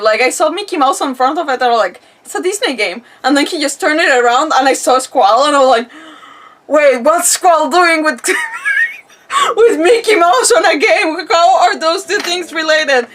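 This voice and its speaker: A woman's voice: high voice, sing-song, moderate pace, fairly bright tone, far from the mic, a little hiss or hum. A woman gamer hosting a casual livestream, chatting in a rambling, giggly way and often interrupting herself.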